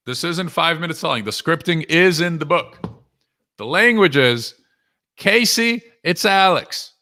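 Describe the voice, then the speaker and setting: A man's voice: a little high, lively intonation, a little slow, sharp tone. A man working as a sales coach, giving a conversational live talk to viewers in punchy short phrases with frequent rhetorical questions. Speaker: sales coach and author hosting a live webinar.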